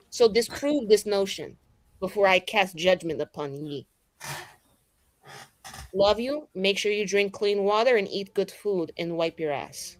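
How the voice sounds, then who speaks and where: Bossy, sarcastic younger-sounding sister in a tabletop game, acted by a woman, high-pitched, very animated, music behind. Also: no room sound, close to an everyday voice, poor audio. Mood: mocking, affectionate. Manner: sarcastic, blunt, slangy scolding. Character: exasperated, bossy, loving sister berating her brother. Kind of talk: improvised in-character tabletop roleplay.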